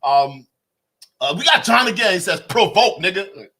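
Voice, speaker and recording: An animated man riffs over a clip he is watching, full of slang and quick laughs. His voice sits a little high and sounds bright and pushed, with a husky edge. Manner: excitable, slangy, says bro a lot, laughs often. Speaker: streamer reacting to a clip. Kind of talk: casual reaction commentary.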